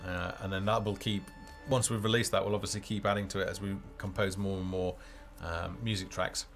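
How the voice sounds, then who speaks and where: A man's voice: low-pitched, flat delivery, brisk, creaky, a bit bright. A man hosting a casual game developer live stream, talking off the cuff in a hesitant, rambling way as he thinks aloud. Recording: music playing behind the voice.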